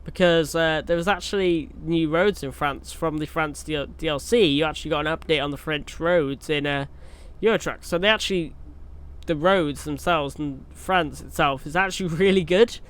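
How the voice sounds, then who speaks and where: Young guy with a bit of a cold, rambling gameplay chat, pitched a bit high, smooth clear voice. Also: normal speed, fairly bright tone. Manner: rambling, chatty, with many fillers and restarts. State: has a cold. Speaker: gaming YouTuber. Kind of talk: casual gameplay commentary.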